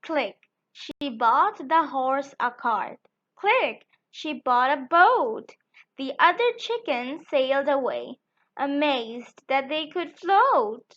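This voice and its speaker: A woman with a high voice reads a children's picture book aloud at an unhurried pace. Her delivery is sing-song and deliberate, made for young listeners. The recording is somewhat dull and lo-fi. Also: very animated, fairly bright tone. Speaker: children's storytime channel host.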